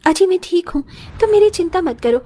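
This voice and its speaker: A woman voices a poor, hardworking village daughter-in-law in a cartoon. Her voice is high. She speaks earnestly and humbly, always putting others first. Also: animated, brisk, dark tone. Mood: reassuring. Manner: earnest, self-sacrificing. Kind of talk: Hindi moral story cartoon acting.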